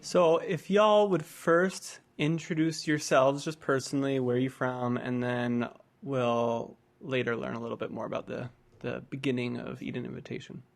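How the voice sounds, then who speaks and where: A man hosting a podcast, asking questions in a casual, tentative, halting way. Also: medium pitch, flat delivery, gravelly. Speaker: podcast host. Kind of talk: casual interviewing.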